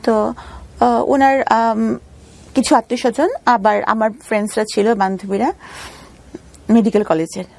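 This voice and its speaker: High-pitched Bangladeshi woman, halting delivery. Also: steady tone, vocal fry, darkish tone, dry recording. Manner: halting, repeats phrases for emphasis. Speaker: interview guest. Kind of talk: personal storytelling in an interview.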